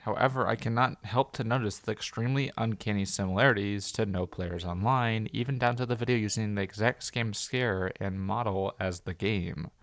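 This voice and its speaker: Low-voiced man giving analytical video commentary. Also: no room sound. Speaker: video essay host and reviewer.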